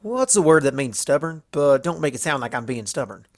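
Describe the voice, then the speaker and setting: A man's voice: on the high side, big pitch swings, a little fast. Man voicing a stubborn, folksy farm mare. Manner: folksy country drawl phrasing, blunt. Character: stubborn plain-spoken young female farm pony. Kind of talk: storybook fan fiction character voice.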